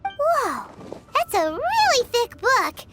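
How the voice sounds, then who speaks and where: Game mascot role: perky, squeaky little girl companion, very animated and blurting, over loud music. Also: slow pace, heavily acted. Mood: surprised, curious. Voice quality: squeaky, childlike. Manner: perky, blurting, third-person self-reference. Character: tiny childlike girl companion, cheeky, chatty, curious. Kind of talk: cartoonish video game voice acting.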